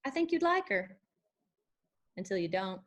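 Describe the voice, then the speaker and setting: A woman reads the part of a young country drifter with an old soul. She is plainspoken and folksy, pressing gently in a medium-pitched voice, as in a drama read-through. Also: big pitch swings, normal speed. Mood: wistful. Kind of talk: screenplay table read.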